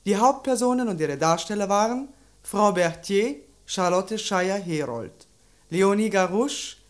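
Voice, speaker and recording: Formal woman radio announcer, medium pitch. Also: expressive, a little slow. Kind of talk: formal scripted 1950s radio credits announcement.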